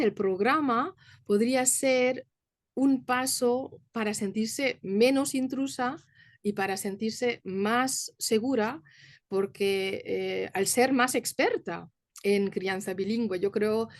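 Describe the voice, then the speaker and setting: A woman in her thirties from Germany, a mother and a teacher, speaks as an interview guest. Her voice sits a little high, and the recording is dry and close. She answers thoughtfully, hesitating on fillers as she goes. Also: dry recording. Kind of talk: conversational interview.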